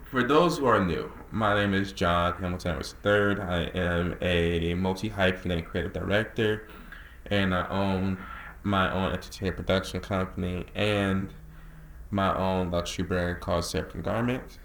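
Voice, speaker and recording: A man's voice: low-pitched, steady tone, a bit bright, slight background noise. Earnest young man vlogging, echoey room. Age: young adult. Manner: chatty and earnest, drawls some words slowly, then rushes others. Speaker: creative director and vlogger. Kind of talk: casual talking-head vlog.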